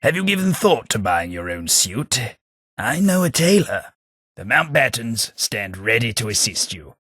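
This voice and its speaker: A man voicing a posh, sardonic British gentleman companion in a game, with a British accent. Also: fairly low pitch, breathy edge, no echo, full character voice. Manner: dry, wry, posh and sardonic. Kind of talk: game voice lines.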